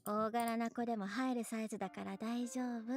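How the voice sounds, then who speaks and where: An actress playing a brisk woman dressing up a reluctant man in a Japanese visual novel, with music behind her. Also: on the high side, fairly even intonation, lightly acted. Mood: brisk, coaxing.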